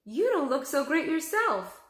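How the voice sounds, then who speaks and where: An excitable young girl adventurer from a children's storybook, acted out by a woman reader. Her voice is high and bright, bold and cheeky, and she calls out her words with eager force. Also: a little slow, roomy sound, heavily acted. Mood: cheeky, playful. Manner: exclamatory, shouting and calling out.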